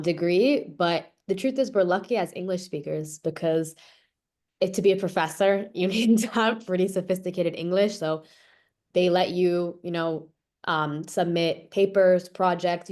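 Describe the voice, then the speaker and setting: A woman's voice: on the low side, darkish tone. A young woman speaking casually as a student panelist on a video call, explaining things informally. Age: young adult.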